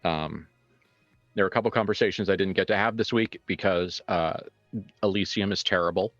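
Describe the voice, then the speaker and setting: A man's voice: deep voice, a little fast, a bit bright, no room sound. A man hosting a game stream, chatting casually and playfully with some swearing as he makes announcements. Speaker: game master and stream host. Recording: dull dry sound over music.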